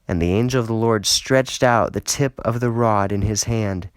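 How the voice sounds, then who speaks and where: A man reading scripture aloud as an audiobook narrator, measured and solemn, lifting into emphasis for quoted speech. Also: lowish voice, clear, resonant tone, no echo, clean studio sound. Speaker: audiobook reader.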